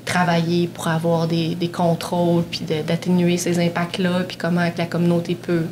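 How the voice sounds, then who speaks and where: A woman's voice: lowish voice, normal speed. A woman speaking hesitantly on a panel, with many fillers and restarts. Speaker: panel guest. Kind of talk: casual panel talk. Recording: steady background noise.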